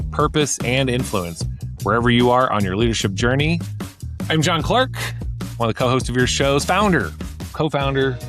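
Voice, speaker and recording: A man in his thirties co-hosting a podcast, talking in an enthusiastic, rambling way with many restarts. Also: medium pitch. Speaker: podcast co-host. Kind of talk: casual chat.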